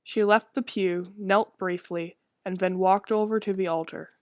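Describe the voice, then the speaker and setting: A woman narrating a visual novel as a dramatic story in a hushed voice. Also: medium pitch, fairly even intonation, measured pace, dry recording.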